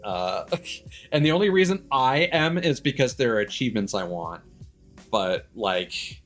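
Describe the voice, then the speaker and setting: A man chatting casually as he hosts a game stream, rambling and halting, with stammers and offhand swearing. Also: mid-range voice, animated, a bit bright. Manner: casually profane. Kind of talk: casual livestream chat. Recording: music playing behind the voice.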